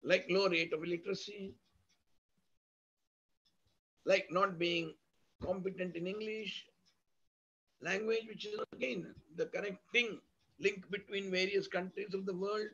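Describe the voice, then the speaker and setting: A man with an Indian accent and a husky voice gives an academic lecture, explaining with examples in an emphatic, didactic way and piling up synonyms and lists. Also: high-pitched, fairly even intonation, normal speed, fairly bright tone. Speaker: university lecturer. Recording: dull lo-fi audio.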